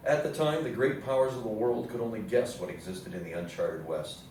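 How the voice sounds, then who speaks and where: Low-voiced male narrator, very echoey. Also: a little fast, darkish tone. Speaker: narrator in a readers theatre play. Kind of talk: scripted historical narration on stage. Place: live readers theatre performance in an echoey indoor space. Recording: very echoey room, distant mic, faint noise.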